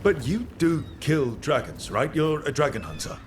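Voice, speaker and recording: An actor playing an inquisitive fantasy adventurer hero in a video game, conversational and straight-talking. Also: male voice, a little high, big pitch swings, normal speed, noisy background. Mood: curious, earnest. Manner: questioning, conversational. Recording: faint game ambience behind.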